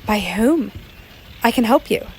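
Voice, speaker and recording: A woman's voice: on the high side, lively intonation, measured pace, a little mellow, slight background noise, rough recording. A woman playing a serious, probing investigative reporter in a documentary-style radio play. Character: earnest, suspicious undercover female investigative reporter.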